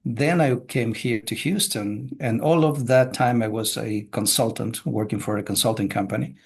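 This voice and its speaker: A middle-aged man from Chile, a business coach, talking casually as a podcast guest in a measured way with many filler sounds. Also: medium pitch, vocal fry, slightly rough.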